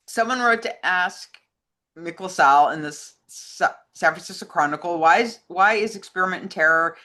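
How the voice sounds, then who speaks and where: Casting: female co-host, bright crisp-edged tone, relaxed conversational delivery, reads out fan mail. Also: low voice. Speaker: co-host reading listener questions. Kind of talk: casual chat and reading questions aloud.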